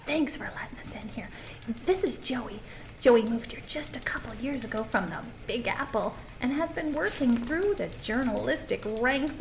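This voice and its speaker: A woman's voice: fairly high pitch, a little hiss or hum, low-quality recording. A woman playing a chirpy, confiding small-town tour guide narrating a comic mockumentary. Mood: hushed, confiding. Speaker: documentary presenter.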